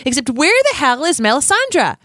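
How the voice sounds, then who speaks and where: A woman's voice: sits high, big pitch swings, moderate pace, a bit bright, dry recording, clean studio sound. A woman co-hosting a fan podcast, reading listener comments aloud and giving strong opinions in a teasing way. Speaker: podcast co-host.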